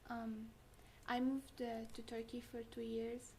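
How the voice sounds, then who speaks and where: A woman's voice: fairly high pitch, flat delivery, unhurried, darkish tone, slight background noise. A young woman from Syria, a student, answers interview questions casually with frequent fillers. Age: young adult. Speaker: student guest.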